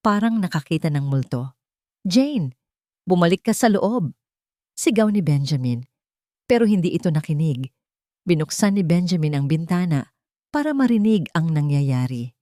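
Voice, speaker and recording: A woman's voice: fairly low pitch, darkish tone, dry recording, clean studio sound. Woman narrating a dramatic love story.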